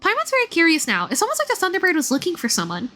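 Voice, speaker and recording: Woman doing a high, chirpy little fairy girl companion. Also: wildly swooping pitch, very fast, pushed and bright, heavily acted. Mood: curious, excited. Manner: refers to self in third person, chirpy. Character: small excitable girl fairy companion, worried and curious.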